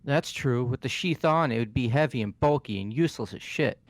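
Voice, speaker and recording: A man's voice: mid-range voice, fairly quick, no room sound, lightly acted. A man voicing a brash, impatient teenage tough guy in a fan-dubbed mystery game, blunt and foul-mouthed. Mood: blunt, confident. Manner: blunt, gruff phrasing.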